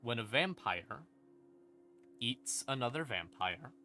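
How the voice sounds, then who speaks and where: A man explaining game lore on a casual livestream, conversational and playful, with dramatic pauses and asides. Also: mid-range voice, very animated, pushed and bright. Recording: ambient music behind the voice. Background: creepy ambient music.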